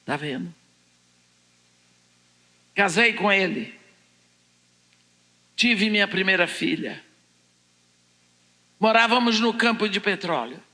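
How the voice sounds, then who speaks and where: An older Brazilian woman giving a personal testimony as a preacher, telling it deliberately and dramatically in short phrases. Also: mid-range voice, expressive, sharp tone. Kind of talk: personal testimony told to a congregation. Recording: echoing large hall, long reverb.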